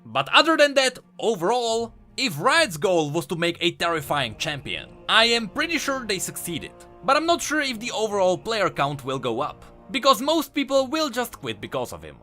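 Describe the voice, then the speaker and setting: A man's voice: high-pitched, huge pitch swings, moderate pace, few pauses, bright, forceful tone, soft background music. A man hosting a scripted explainer video as a game lore commentator.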